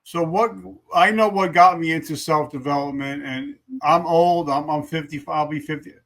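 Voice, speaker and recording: Host, man mid-fifties, somewhat higher-pitched, keen and a little stammering. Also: normal speed, a bit bright. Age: 50s. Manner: enthusiastic, repeats words when starting. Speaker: podcast host. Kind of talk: interview host.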